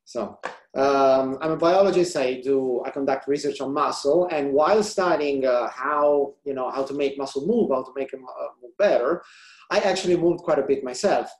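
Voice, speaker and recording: A man from Italy with an Italian accent, a research biologist giving an online slide presentation in a hesitant way with many pauses. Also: mid-range voice. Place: indoor room, video call. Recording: roomy, echoing video call.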